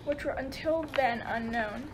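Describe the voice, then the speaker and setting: A woman's voice: on the high side, unhurried, noisy background. A woman giving scripted storytelling narration for a radio play.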